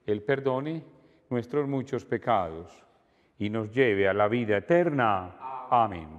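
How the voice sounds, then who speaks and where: An older man, a priest, celebrating Mass at a church altar in calm, measured liturgical prayer. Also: on the low side, lo-fi sound. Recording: long church reverb.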